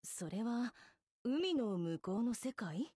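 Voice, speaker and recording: Slow, gallant young idol woman. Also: on the high side, very animated, husky, no room sound, clean studio sound, full character voice. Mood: gentle. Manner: gentlemanly, measured, gallant phrasing. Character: cool, princely tall young woman idol, earnest and self-reproachful. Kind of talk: Japanese mobile game voice acting.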